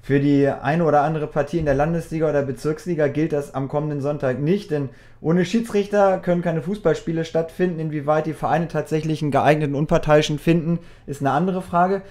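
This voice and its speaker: Higher-pitched male interviewer. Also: steady tone, brisk, few pauses, darkish tone. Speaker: interviewer, presenter. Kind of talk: prepared interview questions, broadcast presenting.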